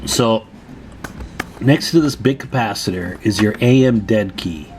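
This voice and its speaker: A man's voice: on the low side, measured pace, a little mellow, slight background noise. A man presenting a hands-on repair tutorial in a casual, plain-spoken, advising way.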